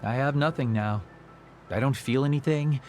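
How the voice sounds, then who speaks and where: A man's voice: mid-range voice, normal speed, natural voice. An actor playing a teasing, world-weary immortal man in a video game cutscene. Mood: weary, hollow. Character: burned-out, playful man two thousand years old, hollow inside. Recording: music behind the voice.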